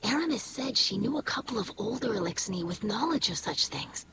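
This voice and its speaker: A woman's voice: medium pitch, expressive, a little fast, natural voice. Woman voicing an earnest young alien scholar, noisy background. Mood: earnest, hopeful. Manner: earnest, careful, formal phrasing. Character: earnest young female alien scholar, hopeful, devoted daughter. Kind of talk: video game character dialogue.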